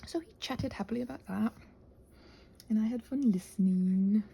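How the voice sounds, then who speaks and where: A woman's voice: medium pitch, moderate pace, gravelly, warm tone, slight background noise. A woman chatting casually in a whimsical, digressive way while she does crafts. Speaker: craft vlogger.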